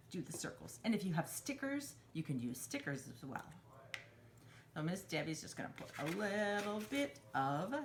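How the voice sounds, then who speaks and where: Woman with lively pitch hosting kids' craft time. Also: a little hiss or hum. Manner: simple instructional phrasing, refers to herself in third person. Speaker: children's storytime craft host. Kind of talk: step-by-step craft demonstration for young children.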